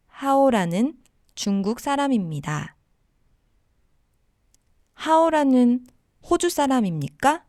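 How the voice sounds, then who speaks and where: A woman teaching a language lesson, giving model sentences and simple explanations in a deliberate way for learners. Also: high-pitched, a little mellow, dry recording, studio quality.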